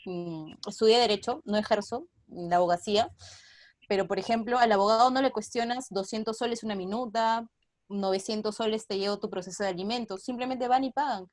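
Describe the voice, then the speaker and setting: A woman's voice: medium pitch, fairly quick, darkish tone, dry recording, clean studio sound. A woman with a Peruvian accent talking casually as an interview guest, earnest and explanatory. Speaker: theater artist, interview guest. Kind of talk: casual video-call interview.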